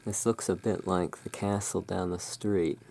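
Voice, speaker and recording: A hushed, soothing and unhurried voice in an ASMR roleplay, playing the owner of a gothic curiosity shop. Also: low-pitched, fairly even intonation, vocal fry, a little hiss or hum. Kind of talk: ASMR roleplay, showing tarot cards.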